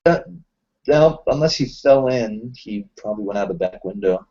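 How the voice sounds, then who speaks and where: A man's voice: fairly low pitch, animated, moderate pace, warm tone, lo-fi sound. A man chatting casually and self-deprecatingly as a player in an improvised roleplaying game. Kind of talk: casual improvised in-character chat.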